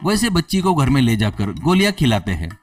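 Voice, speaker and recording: A man's voice: mid-range voice, sing-song, a little fast, distant mic, soft background music. A man narrating a scripted moral story as a storyteller.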